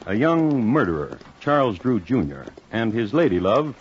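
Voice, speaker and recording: A man narrating an old radio crime drama in a scripted, deadpan, droll manner. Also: mid-range voice, moderate pace, slight background noise, close to an everyday voice. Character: droll storyteller of historic crimes. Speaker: host narrator. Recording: dull old radio recording, music behind.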